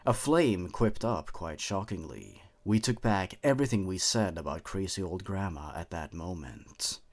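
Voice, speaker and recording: A man narrating horror stories from a script, in a measured delivery that sinks low and hushed at the eerie moments. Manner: measured, hushed storytelling that drops low and quiet.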